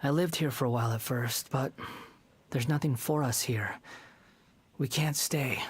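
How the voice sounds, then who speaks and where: An actor voicing a world-weary young man who speaks quietly, often half-whispered. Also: medium pitch, a little slow, husky, no echo. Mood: brooding.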